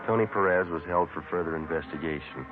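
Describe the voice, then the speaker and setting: An actor playing a terse, matter-of-fact police sergeant who narrates and questions in an old radio crime drama. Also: male voice, deep voice, quick pace, background noise, natural voice. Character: terse, businesslike male police sergeant narrating his case. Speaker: lead detective and narrator. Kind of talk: clipped procedural radio drama narration and dialogue. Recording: tinny vintage radio recording.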